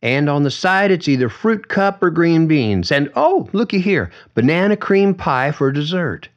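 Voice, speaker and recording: Man voicing a gentle, lilting woman care aide. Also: a little high, sing-song, measured pace, dull audio, voice pushed up. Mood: cheerful, coaxing. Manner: coaxing, soothing, folksy. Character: gentle, cheerful middle-aged woman care-home aide. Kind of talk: audiobook character dialogue.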